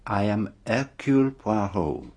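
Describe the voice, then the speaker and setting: An actor playing a shrewd, formal detective with a Belgian French accent in a radio drama, questioning precisely and deliberately in a husky voice. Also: male voice, fairly low pitch, big pitch swings, a little mellow, heavily acted. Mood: probing.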